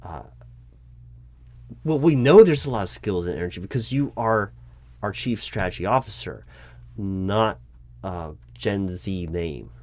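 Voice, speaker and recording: A man playing a nervous, baffled corporate recruiting manager in an audio roleplay, polite and earnest with halting, stammering speech. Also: fairly low pitch, expressive, a little mellow, dry recording. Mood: polite, hesitant. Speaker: roleplay performer. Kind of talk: one-sided audio roleplay of a job interview.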